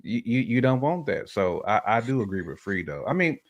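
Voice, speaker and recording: A man with a fairly low voice and an American accent talks casually as a show host. He leans on slang and spins everyday examples in an animated storytelling way. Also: fairly quick, muffled recording. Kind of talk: casual relationship chat.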